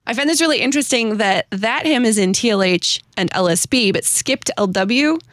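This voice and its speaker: Animated American woman, close dry sound. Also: a little high, normal speed, no echo. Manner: animated, giggly, informal. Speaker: host presenting a hymn-writer's story. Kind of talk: casual chat with read-aloud hymn verses.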